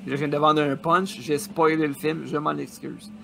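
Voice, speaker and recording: A man's voice: a little high, flat delivery, moderate pace, vocal fry, music in the background. A middle-aged man with a Québécois accent, co-hosting a casual comedy podcast and mocking a bad movie in a sarcastic, incredulous way. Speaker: co-host. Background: movie clips playing.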